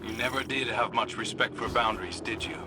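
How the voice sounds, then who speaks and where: An actor playing a wary, brooding scout in a video game, hesitant and understated. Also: male voice, mid-range voice, brisk, very noisy, lightly acted. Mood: wry, resentful.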